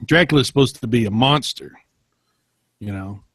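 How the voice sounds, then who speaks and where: A man's voice: medium pitch, moderate pace, fairly bright tone, slightly rough, dry recording, clean studio sound. A man co-hosting a podcast in casual chat, speaking in an enthusiastic, emphatic way. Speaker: podcast co-host.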